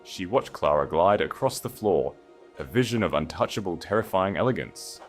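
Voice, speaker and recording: Deep voiced guy narrating a dramatic audiobook, scripted, soft music underneath. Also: lively intonation, normal speed. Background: faint music.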